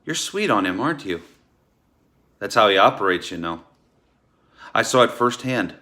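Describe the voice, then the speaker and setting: An actor playing a bitter, wronged husband in a theatrical table read, speaking in an accusatory, ominous and emphatic way. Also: male voice, on the low side, big pitch swings, normal speed, bright, forceful tone. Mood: accusing. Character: bitter, wronged husband warning about a sinister doctor.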